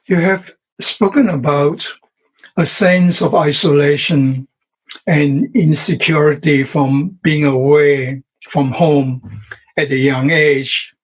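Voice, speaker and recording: A male professor asking prepared interview questions in a measured, formal way. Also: a little high, fairly even intonation, breathy edge, rough recording.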